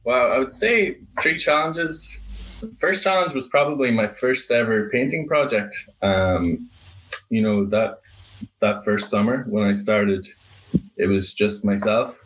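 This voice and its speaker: A man's voice: mid-range voice, measured pace, fairly bright tone, low-quality recording. A young man with an Irish accent, a business owner answering interview questions in a reflective way, with many fillers and restarts. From Ireland. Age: young adult. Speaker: guest, painting business owner. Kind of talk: podcast interview.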